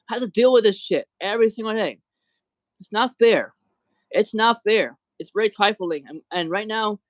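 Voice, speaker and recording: A man's voice: sits high, a bit bright, dry recording, poor audio. A man hosting a livestream who talks in an angry rant, often repeating words and restarting his sentences. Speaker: livestream host.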